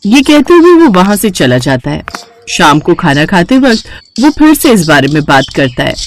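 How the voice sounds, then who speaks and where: A woman's voice: lowish voice, very animated, normal speed. A woman narrating a scripted children's bedtime story.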